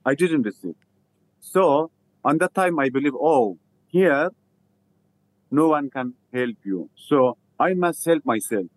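Afghan-accented man telling his story haltingly. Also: a little high, expressive, no room sound, rough recording. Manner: halting, simple non-native English with repeated phrases. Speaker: interview guest, refugee theatre founder. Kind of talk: personal storytelling in an interview.

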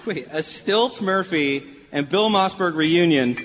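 Skeptical radio play guy, kinda stammery, high voice, big echoey hall w noise. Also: animated, slow, fairly bright tone. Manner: hesitant, stammering. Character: Brian, skeptical adult son of a feuding sportscaster. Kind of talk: live radio play acting. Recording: live stage, long reverb, clear noise.

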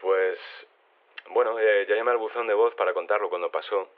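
A deep male voice on a narrow telephone line. He plays a shy, body-swapped interviewee who speaks haltingly and plainly, with understated delivery. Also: fairly even intonation. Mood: hesitant, matter-of-fact. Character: shy woman living inside a man's body. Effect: telephone filter. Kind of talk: naturalistic phone interview in audio drama. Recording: narrow, tinny phone line.

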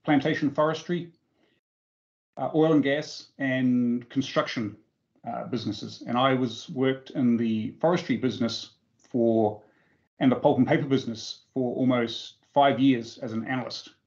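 A middle-aged man with a New Zealand accent speaks as an interview guest. His voice is medium in pitch and his phrasing is measured and a little halting. The recording is slightly dull and lo-fi. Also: fairly even intonation, darkish tone. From Auckland, New Zealand. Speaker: interview guest, forestry investment professional. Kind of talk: conversational interview answers.

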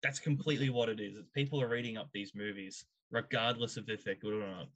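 A man hosting a panel discussion, giving opinions haltingly and asking emphatic rhetorical questions. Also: fairly low pitch, fairly even intonation, a bit bright, muffled recording. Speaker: podcast host.

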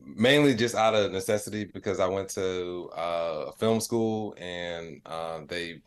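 A man's voice: deep voice, measured pace, smooth. A middle-aged man with an American accent answering interview questions in a casual, laid-back, reflective storytelling way. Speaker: podcast guest, comedian and digital creator.

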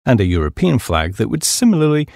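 A man's voice: medium pitch, very animated, fast, gravelly, darkish tone, no room sound, studio quality. A middle-aged British man reading a humorous memoir as an audiobook, with a wry storytelling delivery. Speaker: audiobook narrator.

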